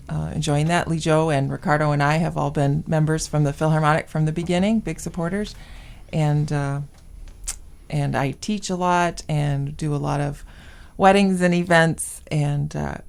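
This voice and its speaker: A woman's voice: deep voice, fairly even intonation, moderate pace, vocal fry, darkish tone. A woman from Iowa, a guest violist, talking casually in an interview in a plain, matter-of-fact way. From Iowa, US Midwest.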